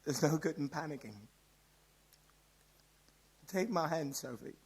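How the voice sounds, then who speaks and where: A man playing a gentle, resigned father in a radio play, quietly consoling his child. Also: a little high, measured pace, slightly rough. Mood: calm, resigned.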